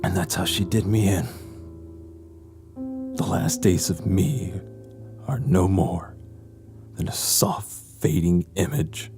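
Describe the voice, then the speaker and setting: A man's voice: low-pitched, takes it slowly, creaky, pauses often, music in the background, full character voice. A man playing a desperate, bitter, dying male vampire in an audio horror drama, his delivery anguished. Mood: resigned, bitter. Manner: anguished, breaking between whispers and cries.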